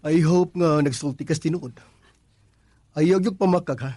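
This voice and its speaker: A man plays a boss's young adult son in a radio drama, suspicious yet concerned. The voice is fairly high for a male and husky, firm and probing, with a Filipino accent. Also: normal speed, mellow, no echo, close to an everyday voice. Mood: probing, stern.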